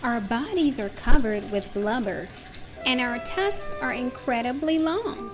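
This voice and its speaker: A woman's voice: fairly high pitch, expressive, unhurried, music in the background. A woman voicing a grumpy, proud, boastful walrus in a children's storybook read-aloud. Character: grumpy, proud, mighty walrus from a picture book.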